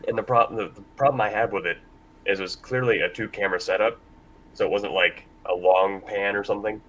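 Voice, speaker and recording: A man with a very low yet forceful, bright voice talks casually as a panel moderator. His delivery rambles and halts, picked up live with faint background noise. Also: fairly even intonation, a little fast, rough recording. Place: convention panel room.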